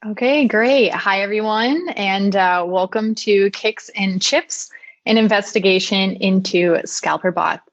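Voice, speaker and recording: A woman's voice: medium pitch, measured pace, no room sound. A woman presents research at a security conference, talking through her slides with frequent "uh" and "um". The recording is dry, with no room sound, and slightly dull and muffled. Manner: clear explanatory delivery with frequent "uh" and "um". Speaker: conference speaker.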